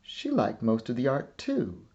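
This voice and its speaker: A man narrating a children's picture book with measured, deliberate storytelling. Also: medium pitch, huge pitch swings, darkish tone, highs cut off. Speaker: storybook reader.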